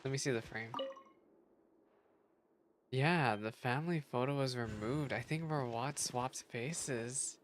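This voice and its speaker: A woman's voice: rumbling low, slow pace, long pauses, slight background noise. A woman streaming a game with casual, exclamatory, chatty reaction commentary. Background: game music.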